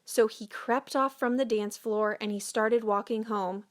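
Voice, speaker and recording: A woman storyteller narrating a children's picture book in a measured, expressive read-aloud manner. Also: a little high.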